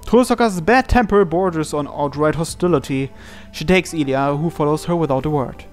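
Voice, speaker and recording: A man's voice: a little high, normal speed. A man hosting a gaming video, reading visual novel narration aloud. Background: soft game music.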